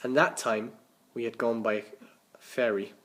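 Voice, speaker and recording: A man reading a children's story aloud as a teacher, with animated, dramatic emphasis and the odd stumble over words. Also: medium pitch, measured pace, a little hiss or hum. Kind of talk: expressive children's storybook narration.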